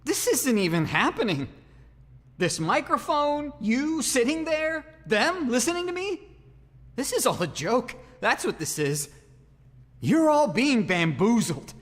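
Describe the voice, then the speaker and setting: An actor playing a theatrical, agitated ventriloquist and murder suspect in an audio drama, dramatic and sarcastic. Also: male voice, extremely high pitch, big pitch swings, a little slow, a bit bright, full character voice. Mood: defiant, agitated. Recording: long reverb, music underneath.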